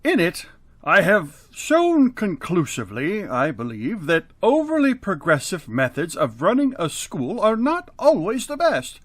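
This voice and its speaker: An actor playing a grouchy, pompous, flustered school principal in a halting manner for a 1950s-style radio comedy. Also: male voice, sits high, wildly swooping pitch, fairly bright tone, dry recording, full character voice.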